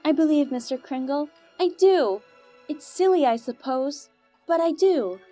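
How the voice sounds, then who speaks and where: Lady doing a little kid girl in a radio play, super high voice, earnest n plaintive, bit of music behind. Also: animated, measured pace, warm tone. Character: little girl, doubting then earnestly hopeful daughter.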